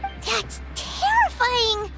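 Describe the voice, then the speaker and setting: A woman voicing a small, excitable floating fairy girl in a video game, with a squeaky childlike voice and exclamatory delivery. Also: drawn-out words, exaggerated voice, poor audio. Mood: disgusted. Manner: childlike, exclamatory, speaks of herself in third person. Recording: loud background music.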